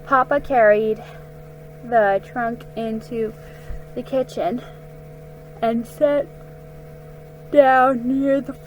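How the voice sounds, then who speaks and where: A woman giving a dramatic, expressive reading of a children's story, with a slightly halting delivery. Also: on the high side, highs cut off. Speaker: amateur story reader. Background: music under the reading.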